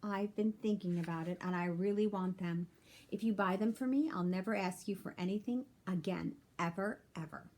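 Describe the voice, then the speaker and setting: A woman's voice: mid-range voice, moderate pace, darkish tone, close to an everyday voice. A woman voicing an eager young schoolgirl who begs insistently in a children's storybook.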